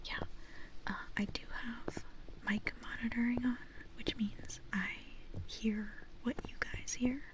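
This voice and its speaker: Slow, whispering woman streamer. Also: fairly high pitch, little pitch movement, far from the mic, low-quality recording. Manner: soft, nervous, giggly chatter with chat. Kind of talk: whispered ASMR live stream. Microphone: binaural microphone with ears.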